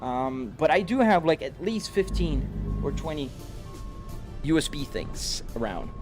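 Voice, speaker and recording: A chatty man with a Brazilian accent and a slightly high voice, talking to his stream viewers while he drives. Music plays clearly underneath him. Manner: chatty, reads chat aloud and answers it. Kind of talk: live-stream chat while gaming. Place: home sim rig room.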